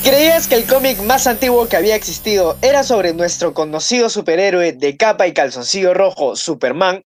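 A voice reading a scripted informative segment in a measured tone. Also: medium pitch, expressive.

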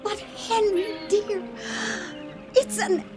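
An actress playing an excitable, doting older wife in a gushing, coaxing manner in a radio drama. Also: female voice, very high voice, very slow, over music, heavily acted. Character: excitable, doting wife thrilled by a bargain yacht. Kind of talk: 1980 radio drama acting.

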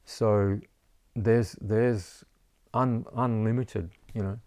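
Male herbalist, low gravelly voice, dry close mic, rambling casual talker. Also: steady tone, very slow, darkish tone, no echo. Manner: rambling, repeats words, says "you know" often. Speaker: herbalist teacher. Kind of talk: casual interview chat.

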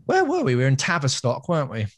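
A man's voice: medium pitch, wildly swooping pitch, quick pace, no echo, studio quality. A man co-hosting a podcast, chatting casually and explaining things for listeners. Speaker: podcast co-host. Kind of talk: casual chat.

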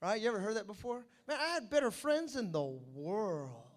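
A man preaching a sermon as pastor, animated, addressing the congregation with call-and-response asides. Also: high-pitched, moderate pace.

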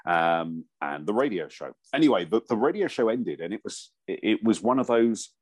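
Croaky, very deep-voiced middle-aged man. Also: dry recording. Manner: relaxed anecdotal storytelling with pauses. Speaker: radio presenter and voiceover artist. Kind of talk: casual chat, telling a story.

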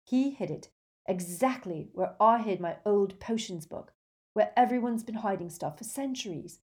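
A woman's voice: mid-range voice, expressive, a little mellow, pitched above the performer's own voice, lightly acted. A woman voicing a determined teenage boy hero who talks urgently. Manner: urgent, fast-talking.

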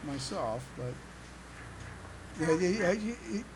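Podcast host, mid-pitched voice, stammering casual talk, repeated words, clear background noise. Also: expressive, takes it slowly, rough recording. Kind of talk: casual chat.

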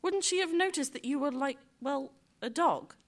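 A woman's voice: high-pitched, lively intonation, normal speed, creaky. A woman acting a naive, curious talking pet dog in a live audio theater comedy, full of hesitant, astonished questions. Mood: puzzled, curious. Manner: wide-eyed, questioning, hesitant.

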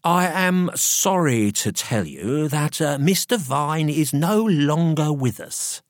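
A man voicing an officious, impatient male solicitor with a formal, halting manner in an audiobook. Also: on the high side, lively intonation, dry recording, clean studio sound, voice pushed up. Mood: ill-concealed glee. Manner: stammering, pompous, formal. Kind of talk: audiobook character dialogue.

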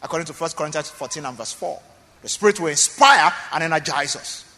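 A man's voice: fairly high pitch, quick pace, bright, forceful tone, in a large hall. A man preaching a sermon as pastor in a church, emphatic, often repeating phrases and asking the congregation questions.

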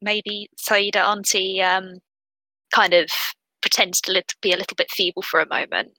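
Bright-voiced woman playing a game. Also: mid-range voice, level tone, normal speed, dry recording, low-quality recording. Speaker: tabletop game player. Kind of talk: casual improvised game chat.

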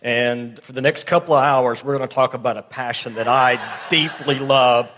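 A man's voice: medium pitch, fairly even intonation, normal speed, a bit bright, a little hiss or hum. A middle-aged man giving an informal, folksy talk to a congregation, often checking in with his listeners. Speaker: guest speaker at church. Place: large hall, church service. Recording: echoey hall with long reverb.

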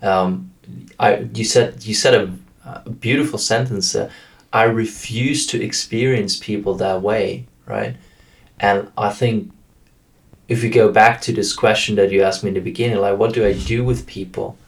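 A man's voice: on the low side, steady tone, normal speed. A man hosting an interview, adding short affirmations and praising his guest. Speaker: podcast host. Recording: distant from the mic.

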